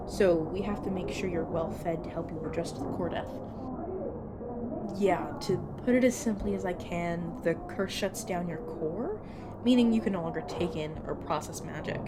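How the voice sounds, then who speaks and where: A woman playing a brisk, casual captor explaining things wryly and patiently in an audio roleplay, talking straight to the listener. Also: lowish voice, heavy background noise, lightly acted. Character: brisk, casual female magic-wielding captor and guide. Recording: loud sound effects and ambience behind.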